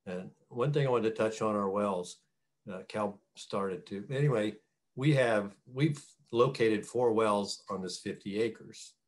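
A middle-aged farmer with a fairly low voice answers questions on a video call. He is a plain-spoken man, talking in a casual, folksy way. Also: measured pace. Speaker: farmer, guest. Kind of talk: casual interview talk. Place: small wood-panelled room at home. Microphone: computer mic on a video call.

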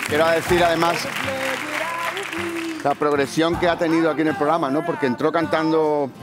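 A middle-aged man coaching on a TV talent show, giving halting, heartfelt spoken praise in a large studio. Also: a little high, flat delivery, over loud music, over a noisy crowd. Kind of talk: casual spoken feedback.

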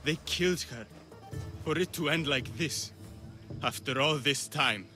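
An actor playing a gentle, lovelorn middle-aged Greek philosopher in a video game, speaking in a reflective, hesitant way. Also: male voice, a little high, unhurried, lightly acted. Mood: grieving, resigned. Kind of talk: video game dialogue acting. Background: game music.

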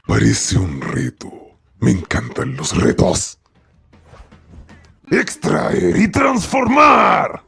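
A man with a Latin American accent playing a cocky, menacing crystal-armored supervillain in an amateur cartoon dub, with a gravelly voice and theatrical delivery. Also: fairly high pitch, wildly swooping pitch, a bit bright, raised voice, soft background music, full character voice. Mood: taunting. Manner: slow, theatrical, swooping delivery.

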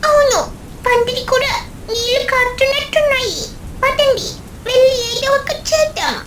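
A woman voicing an excitable little child bird in a cartoon story, with a chirpy, earnest, sing-song delivery. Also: very high-pitched, moderate pace, a little hiss or hum, voice pushed up, low-quality recording. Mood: worried. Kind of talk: cartoon moral story character acting.

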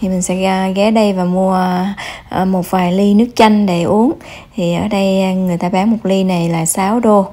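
A woman with a southern Vietnamese accent talks like an informal travel vlogger showing people around. Her voice is soft-edged and mellow, at an ordinary pitch for a woman. She speaks haltingly, often pausing in the middle of a phrase. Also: fairly even intonation. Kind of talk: informal travel guide narration.

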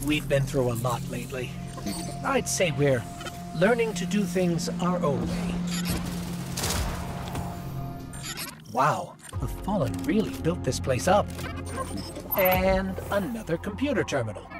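Little robot companion, male, wry, loyal, very animated, slightly high voice, game dialogue, loud music. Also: unhurried, heavily acted. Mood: upbeat, alert. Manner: wry, expressive, conversational. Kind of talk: video game voice acting.

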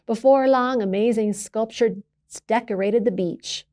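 A woman reading a children's picture book aloud as a library storyteller, expressively, with emphasis and dramatic pauses. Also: on the high side, mellow.

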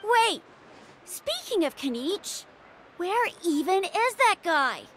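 A woman's voice: extremely high pitch, sing-song, slow pace, noisy background, full character voice. A woman voicing a loyal, easily flustered young child in a video game, with a youthful voice. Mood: anxious.

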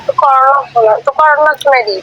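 High, bright-voiced rural Bengali woman. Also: fast talker. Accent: rural eastern Bengali dialect. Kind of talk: casual domestic phone chat. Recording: phone call with faint noise.

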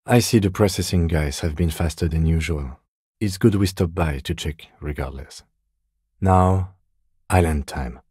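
An actor playing a composed, dry police lieutenant in a video game, speaking softly in a measured, restrained deadpan with a slightly husky voice. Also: male voice, low-pitched, no room sound, exaggerated voice. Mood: calm, dry. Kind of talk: video game dialogue acting.

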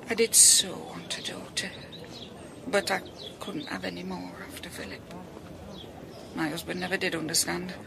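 A woman's voice: medium pitch, noisy background. An actress playing a middle-aged grieving mother in a radio drama, speaking plainly. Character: grieving mother of a dead young man. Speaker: bereaved mother. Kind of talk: BBC radio drama acting. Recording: radio play with clear background ambience.

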